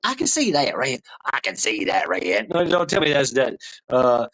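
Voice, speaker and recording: A man's voice: medium pitch, wildly swooping pitch, normal speed, a bit bright, no room sound, low-quality recording. Man, livestream host, casual, rambling, tipsy, laughing, lots of uhs.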